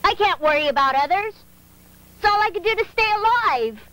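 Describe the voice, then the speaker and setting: Cartoon street urchin boy: very high, lively, cheeky and defiant, some background noise. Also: brisk, poor audio. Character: scrappy, cheeky starving street boy, a chicken thief. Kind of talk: dubbed animated film acting.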